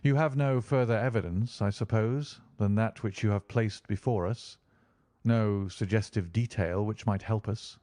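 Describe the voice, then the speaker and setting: A man reads as a commanding detective, crisp and impatient in delivery. The voice is mellow and dry, sitting near his own natural pitch. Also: unhurried, no echo, close to an everyday voice. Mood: urgent. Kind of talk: audiobook character reading.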